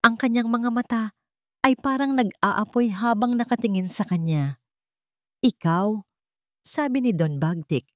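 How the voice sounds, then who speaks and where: A woman's voice: on the high side, normal speed, fairly bright tone, no room sound, studio quality. A woman reading scripted, dramatic story narration.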